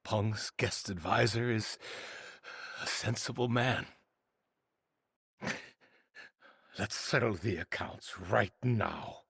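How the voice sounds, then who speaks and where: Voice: medium pitch, takes it slowly, vocal fry, fairly bright tone, husky, dry recording, studio quality, exaggerated voice. An actor playing an aging, grief-stricken master of disciples in a video game, bitterly defiant and theatrical. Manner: theatrical, impassioned, bitterly rhetorical. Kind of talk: dramatic video game acting.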